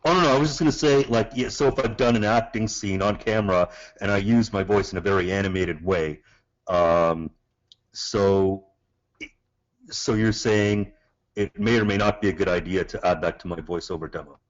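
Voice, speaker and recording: Caller from Canada, male, lowish voice, polite and hesitant, lo-fi webinar audio. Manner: polite, halting, many fillers. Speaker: webinar caller, acting student. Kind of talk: asking questions on a webinar.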